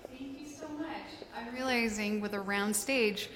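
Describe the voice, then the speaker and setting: A woman in her thirties from Sweden talks as an interview guest on a live stage, her voice pitched a touch high. She chats casually and jokes at her own expense. The sound carries a long hall reverb. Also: measured pace, a bit bright. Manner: joking, self-deprecating, laughs often. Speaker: inventor and online creator, interview guest.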